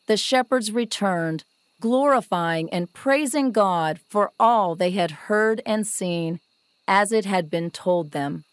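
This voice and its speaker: A woman narrating a scripted Bible story in a measured, reverent storytelling delivery. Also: medium pitch, smooth, no echo.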